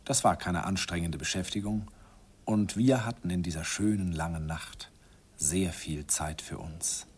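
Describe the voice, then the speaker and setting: A man's voice: sits low, a little slow, breathy edge. An actor narrating a radio play as a middle-aged man fondly recalling his first love, in a wistful, reminiscing way.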